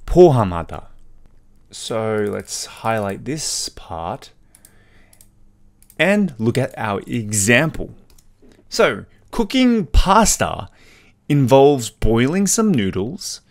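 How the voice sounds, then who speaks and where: A male teacher and native English speaker walks learners through a language point in an explanatory style. His mid-pitched voice moves up and down in a lively way. He switches between English and Korean as he gives translations.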